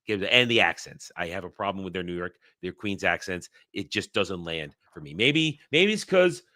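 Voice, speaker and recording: Rambling man with a bright, close voice. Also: low voice, expressive, moderate pace, no room sound. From Northeastern United States. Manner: rambling, many restarts and fillers. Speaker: movie review show co-host. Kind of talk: casual spoken movie review.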